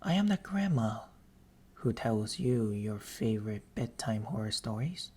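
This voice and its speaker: A man voicing an eerie, patient sleep paralysis demon in an ASMR roleplay, half-whispering slowly and intimately into a close microphone with coaxing, theatrical phrasing. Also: lowish voice, very animated, darkish tone, slightly rough, exaggerated voice. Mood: soothing, patient. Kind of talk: ASMR roleplay, slow storytelling. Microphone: close binaural microphone.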